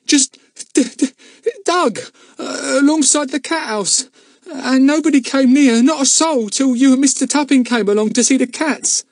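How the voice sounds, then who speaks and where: A man's voice: high voice, fairly even intonation, unhurried, a little mellow, no room sound, clean studio sound, voice pushed up. A man voicing a frightened young male gardener who stammers and pleads. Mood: scared stammering.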